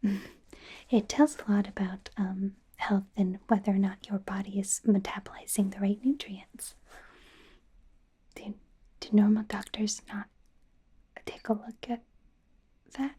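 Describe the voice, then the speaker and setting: A woman's voice: medium pitch, fairly even intonation, unhurried, pauses often. A woman playing a nervous, friendly female werewolf doctor in a whispered ASMR roleplay, hesitant, stammering and apologetic. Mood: friendly, awkward. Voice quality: soft, breathy whisper.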